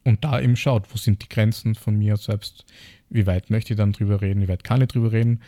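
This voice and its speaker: Male podcast co-host, Austrian accent, dark mellow low voice, reflective and casual. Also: fairly even intonation, fairly quick, no room sound, clean studio sound. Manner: reflective, speaks in piecemeal phrases with rhetorical questions. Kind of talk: casual explanatory podcast talk.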